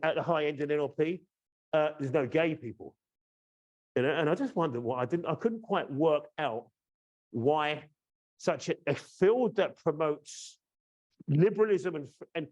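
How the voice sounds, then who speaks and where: A man in his fifties from the UK hosting a training webinar over a video call, chatty and teasing, often checking in with "yeah?". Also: on the high side. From United Kingdom. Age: 50s. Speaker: course trainer and webinar host. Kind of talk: casual webinar presentation.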